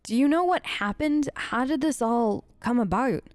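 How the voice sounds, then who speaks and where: Clear, conversational woman gamer, close and dry. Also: fairly high pitch, moderate pace, no room sound. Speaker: let's play host role-playing her character. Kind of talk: casual improvised in-character gameplay talk.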